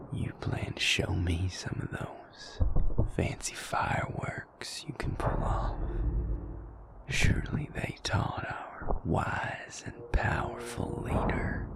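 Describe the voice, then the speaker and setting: A man's voice: low voice, gravelly, exaggerated voice. A man voicing a cruel male vampire maker who taunts in a whispery, sneering way.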